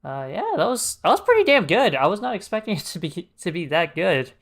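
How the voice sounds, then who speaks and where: A man's voice: high voice, big pitch swings, a little fast. A man livestreaming a game with casual, joking commentary, reacting off the cuff. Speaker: streamer. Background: game music.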